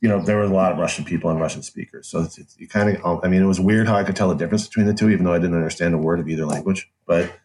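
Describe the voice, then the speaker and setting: A man's voice: deep voice, steady tone, very fast, hardly any pauses, darkish tone. A middle-aged American man telling a personal story conversationally as an interview guest, candid and wry. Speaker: podcast guest, author.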